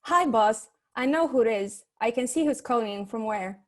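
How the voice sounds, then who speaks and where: A woman's voice: fairly high pitch, animated, normal speed, natural voice. A woman playing a sassy, no-nonsense private eye's assistant in a humorous noir radio play, dry and unimpressed. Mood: brisk. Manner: dry, unimpressed, matter-of-fact.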